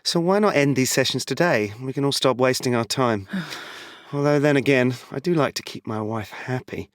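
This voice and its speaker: A man's voice: mid-range voice, normal speed, no echo, clean studio sound, close to an everyday voice. An actor playing a smug, greedy con man in an audio drama, glib and self-satisfied. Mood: smug, dismissive.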